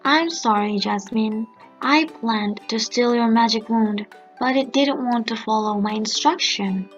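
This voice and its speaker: A woman's voice: a little high, measured pace, lightly acted. A woman voicing a lazy, envious young female fairy in a storybook tale. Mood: apologetic. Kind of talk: storybook character voice. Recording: muffled narrow-band audio under music.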